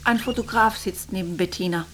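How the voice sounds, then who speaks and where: An actress playing a dry, wry storyteller, narrating a literary radio drama in a detached way. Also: female voice, mid-range voice, a little fast, fairly bright tone, slight background noise, lightly acted. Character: dry female storyteller observing unhappy friends.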